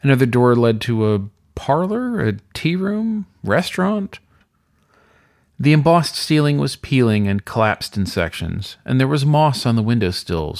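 A man's voice: medium pitch, vocal fry. A man reading a spooky story as narrator, in scripted, dramatic storytelling style.

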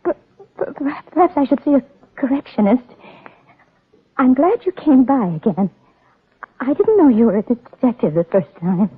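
A dazed young heiress in a vintage radio drama. Her voice is high and breathy, halting over words and repeating them as she unravels. It comes through a thin, old narrow-band recording. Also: animated, full character voice. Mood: confused, halting. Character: fragile, dazed, lonely rich young woman, unravelling. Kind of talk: 1940s radio acting.

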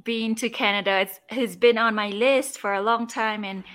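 Bright-voiced young woman, easygoing. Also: on the high side, moderate pace. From Singapore. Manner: relaxed, with many fillers like "um" and "like". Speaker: travel blogger guest. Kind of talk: casual interview chat.

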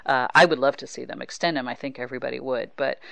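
Woman, American, sports podcast host. Smooth, clear delivery, conversational, very dry sound. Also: rumbling low, fairly even intonation, quick pace, fairly bright tone, dry recording. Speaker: podcast host and baseball reporter. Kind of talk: casual sports interview chat.

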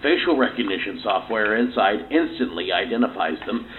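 An actor playing a proud, defensive research scientist answering questions in a curt, self-assured manner in an audio play. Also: male voice, on the low side, expressive, moderate pace, natural voice. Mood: proud, dry. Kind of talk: audio play interview acting. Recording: tinny phone-like recording, faint noise.

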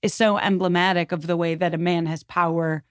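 A woman's voice: lowish voice, little pitch movement, a little fast, no room sound, studio quality. A woman guest talking at length in an emphatic, rambling, conversational way, as if telling a story from history. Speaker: podcast guest.

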